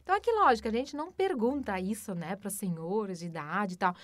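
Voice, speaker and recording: A woman with a Brazilian Portuguese accent teaching a German lesson. She explains patiently, often adds tag questions and slows down for the German examples. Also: mid-range voice, lively intonation, no echo. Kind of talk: language lesson.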